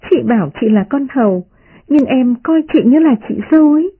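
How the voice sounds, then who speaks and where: A woman voicing a witty young noblewoman who teases in a high, playful voice. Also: lively intonation, a little fast, breathy edge. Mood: teasing. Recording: muffled radio broadcast.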